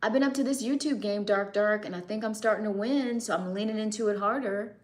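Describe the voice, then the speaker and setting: A woman's voice: medium pitch, a little fast. A young American woman chatting casually on a live stream, answering viewers' comments and laughing often. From United States.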